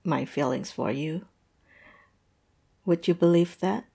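A woman's voice: fairly low pitch, slow pace, creaky, dark tone, slightly rough, heavily acted. A woman acting in a roleplay audio drama as a tipsy, lovestruck young woman, self-mocking and playfully theatrical. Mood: soft, wistful.

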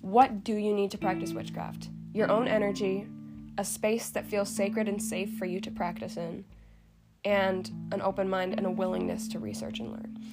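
Chatty woman podcaster over loud guitar music. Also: mid-range voice, flat delivery, moderate pace. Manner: chatty, informal, frequent "like". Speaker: podcast host. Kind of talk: casual solo podcast talk. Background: plucked guitar music.

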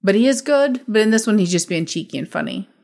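A young American woman co-hosting a casual film review chat, easygoing and laid-back, quick to disagree. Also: medium pitch, animated, vocal fry, a little mellow. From United States. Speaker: podcast co-host.

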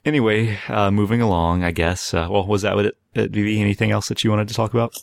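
A man's voice: lowish voice, fairly even intonation, quick pace, creaky, no room sound. A man hosting a podcast, steering a casual conversation among several people. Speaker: podcast host steering the discussion. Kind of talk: casual chat.

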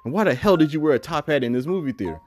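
A man voicing an irritated moviegoer who complains, in a quick, indignant way, about another man's tall hat. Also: on the high side, animated, darkish tone, faint music behind, voice pushed up, natural voice.

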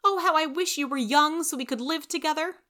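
A woman's voice: high voice, fairly quick, sharp tone, voice pushed up. A woman voicing a dramatic, indignant and impulsive young girl from a storybook, with exclamatory, emphatic delivery. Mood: weary, wistful.

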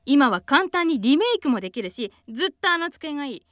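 A woman's voice: sits high, big pitch swings, a little fast, a bit bright, no room sound, clean studio sound. A woman playing an earnest, sentimental girl in a radio drama, speaking in a heartfelt, insistent way. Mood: determined. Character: earnest, sentimental girl attached to her old desk.

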